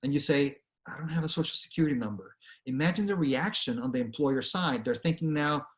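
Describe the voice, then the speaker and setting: A man doing casting: man with a Venezuelan accent and a fairly high voice, a direct speaker who talks students through the topic. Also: a little fast. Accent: Venezuelan Spanish. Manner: earnest, direct, conversational with frequent okays. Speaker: career speaker. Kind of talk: presentation to students.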